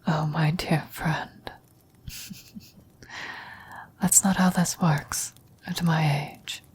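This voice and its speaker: A woman playing a frail, dying old woman in a whispered ASMR roleplay, gentle, wistful and philosophical, speaking slowly and haltingly. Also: sits low, fairly even intonation, full character voice. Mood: weary, resigned. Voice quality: breathy whisper. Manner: slow, halting, reflective delivery with long pauses.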